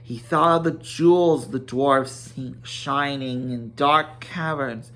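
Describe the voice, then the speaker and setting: A man's voice: medium pitch, slow pace, sharp tone. A man reading a story aloud as a dramatic narrator, in scripted storybook style. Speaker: amateur audiobook narrator.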